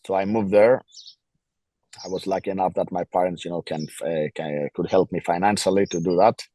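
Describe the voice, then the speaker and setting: Sex: man. Pitch: very deep. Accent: Greek. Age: 40s. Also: fairly even intonation, fairly quick, dark tone, no room sound. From Greece. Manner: earnest, reflective, searches for words. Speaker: DJ guest. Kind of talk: casual interview chat.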